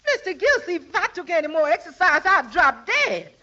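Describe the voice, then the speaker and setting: A deferential female household cook from 1940s radio comedy, drily exasperated and incredulous. The voice is very high and bright, with lively swoops, on a dull old recording. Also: normal speed, full character voice.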